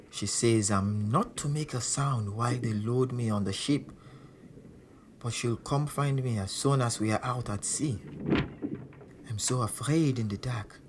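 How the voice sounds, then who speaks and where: A man's voice: mid-range voice, measured pace, natural voice. Man playing a frightened stowaway over noisy ambience. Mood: fearful. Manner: simple, short sentences. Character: frightened stowaway telling his story in present tense. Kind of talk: first-person audio drama narration.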